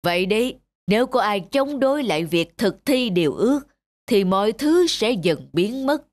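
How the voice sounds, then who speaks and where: A woman's voice: medium pitch, very animated, normal speed, lightly acted. A woman voicing an old Santa Claus for a cartoon, stern yet kindly, speaking with authority. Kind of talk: dubbed cartoon storytelling. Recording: music under the voice. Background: background music.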